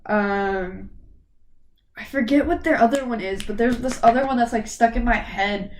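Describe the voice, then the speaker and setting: A teenage girl vlogging in a rambling, gushing way, her voice a little on the high side. She sounds slightly sniffly as she gets over a cold, and there is a faint hiss behind her. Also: moderate pace, poor audio. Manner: rambling, gushing fan talk. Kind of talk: casual chat to camera.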